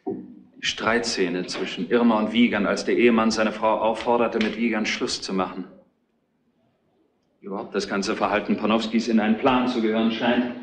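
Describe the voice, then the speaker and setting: An actor playing a methodical, matter-of-fact detective's assistant reasoning through a case in a retro crime radio play. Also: male voice, lowish voice, fairly even intonation, normal speed, close to an everyday voice. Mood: pointed. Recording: roomy, faintly noisy retro radio play.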